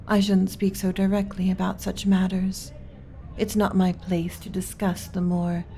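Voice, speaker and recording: A woman playing a gentle, submissive alien servant in an ASMR roleplay, speaking softly and breathily with hesitant, deferential pauses and some whispering. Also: fairly low pitch, a little hiss or hum. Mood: apologetic, wary. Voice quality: soft, breathy with whispered stretches. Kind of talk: ASMR roleplay, soft intimate delivery.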